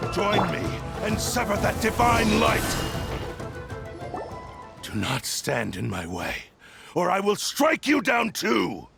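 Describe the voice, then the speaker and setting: A man's voice: sits high, gravelly, full character voice. A man playing a proud, fanatical sword spirit that possesses a human body in a video game, arrogant and theatrical. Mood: furious, commanding.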